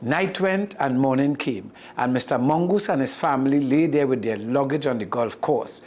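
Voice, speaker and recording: A storyteller with a Caribbean accent reading a tale aloud in an expressive manner. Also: mid-range voice, moderate pace.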